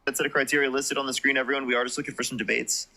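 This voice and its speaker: A man's voice: medium pitch, level tone, rapid-fire, vocal fry, pushed and bright, low-quality recording. A young man hosting a live-streamed debate, talking bluntly and repeating his screening questions to each new guest. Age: young adult. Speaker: livestream debate host.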